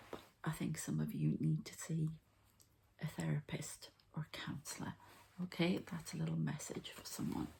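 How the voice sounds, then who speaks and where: A woman doing a whispered ASMR card reading as an energy healer, in a hushed, breathy, creaky voice, unhurried and murmuring to the listener. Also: sits low, poor audio.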